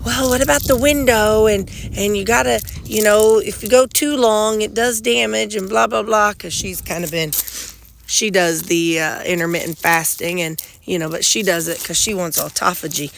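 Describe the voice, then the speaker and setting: A middle-aged woman with a drawl talks in a loose, rambling way, full of restarts and asides. Her voice is a bit nasal with a gravelly edge. She is recorded on a handheld phone outdoors, with a faint hiss of noise underneath. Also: mid-range voice, fairly even intonation, moderate pace. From Missouri, United States. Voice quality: slightly nasal. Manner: rambling, chatty, folksy with frequent restarts; pulling weeds while talking. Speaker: vlogger. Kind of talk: casual chat to camera. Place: outdoors beside a gravel bed and sidewalk. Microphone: phone held in hand.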